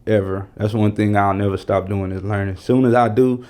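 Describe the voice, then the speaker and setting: A man's voice: fairly low pitch, fairly even intonation, fairly quick, a little mellow. A man in his forties chatting casually as a podcast guest, using slangy, emphatic phrasing with repeats. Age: 40s. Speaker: podcast guest, brand strategist and producer.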